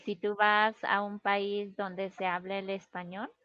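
A woman's voice: mid-range voice, level tone, sharp tone, no echo, highs cut off. A woman from Peru with a Peruvian Spanish accent, chatting casually and explaining things patiently. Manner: slow, patient, explains with pauses. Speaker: conversation partner. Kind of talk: casual conversation.

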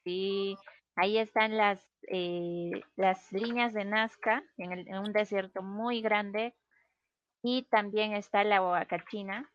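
A woman's voice: mid-range voice, steady tone, no echo, muffled recording. A woman from Peru with a Peruvian Spanish accent, chatting casually and explaining things patiently. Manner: slow, patient, explains with pauses. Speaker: conversation partner. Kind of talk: casual conversation.

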